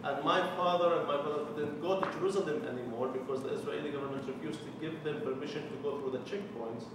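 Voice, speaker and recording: Palestinian man, 40s, earnest testimony with dry humor, higher voice, picked up far off in an echoey, noisy hall. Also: fast. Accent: Palestinian Arabic. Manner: earnest, with wry jokes. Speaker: Lutheran mission developer. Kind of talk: personal testimony talk to a committee. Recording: echoey room from far off, with noise.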